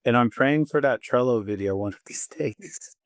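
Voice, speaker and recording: A man hosts a casual interview with a mid-range voice, neither high nor low. He fires off eager follow-up questions. The recording is close and dry. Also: normal speed, no echo. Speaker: interview host. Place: in Hungary.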